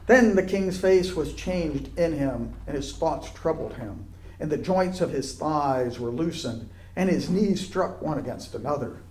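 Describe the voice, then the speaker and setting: A man with a fairly high voice reads scripture aloud in a roomy, echoing space. His delivery is solemn and declamatory, each phrase set out deliberately. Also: expressive, a little mellow. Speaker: scripture reader. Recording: echoey, roomy sound.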